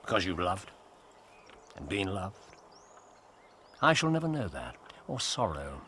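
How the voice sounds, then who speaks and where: An actor playing a brilliant, self-assured male detective in a radio drama, with crisp, precise explaining. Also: sits low, sing-song, a little slow, bright, forceful tone, a little hiss or hum, full character voice. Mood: reflective.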